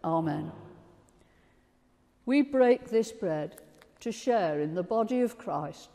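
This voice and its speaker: An older woman with an English accent, a priest reciting liturgical prayers in a solemn, measured way. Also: lowish voice, expressive, vocal fry, mellow. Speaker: priest leading a communion service. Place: large stone church, indoors. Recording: long church reverb.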